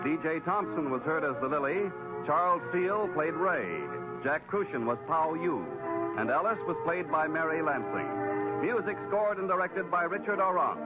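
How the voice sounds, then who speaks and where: A man announcing scripted credits in a formal, projected 1940s radio style. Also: high-pitched. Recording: dull 1940s radio recording, orchestral music.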